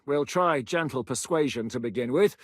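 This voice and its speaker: An actor playing a gruff, blunt, sweary rebel leader in a video game, giving curt orders. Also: male voice, mid-range voice, normal speed, dry recording, studio quality, natural voice. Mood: commanding. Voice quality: gruff, commanding. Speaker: game character.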